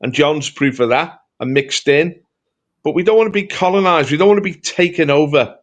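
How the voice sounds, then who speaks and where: A man's voice: fairly high pitch, animated, moderate pace. Emphatic Scouse man talking politics. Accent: Liverpool Scouse. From Liverpool, England. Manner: emphatic, rhetorical, repeats phrases. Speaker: political commentator. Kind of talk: impassioned political talk show discussion.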